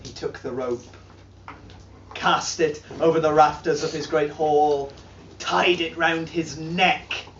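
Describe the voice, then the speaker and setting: Male storyteller, slow solemn delivery, a bit high, caught from across an echoey hall. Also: fairly even intonation, a little hiss or hum. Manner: slow, declaiming, swells to loud peaks. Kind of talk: dramatic reading of an old charm. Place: large timber hall, indoors. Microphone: camera filming from across the room. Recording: distant, roomy and dull.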